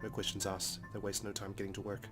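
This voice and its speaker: Low-pitched, even-toned man reading dramatically over music. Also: fast talker. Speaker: let's play narrator. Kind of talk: dramatic reading of visual novel narration. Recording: loud music behind the voice. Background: game soundtrack music.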